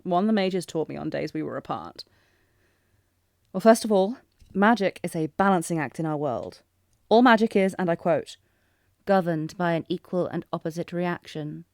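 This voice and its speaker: A woman's voice: on the low side, normal speed, a little mellow, no echo, natural voice. Woman acting an earnest, genteel fantasy princess, close and dry. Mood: explaining, curious. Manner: theatrical, breathy exclamations with genteel, old-fashioned phrasing. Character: lovestruck, flustered young fantasy princess, refined and earnest. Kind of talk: romantic audio roleplay spoken to the listener.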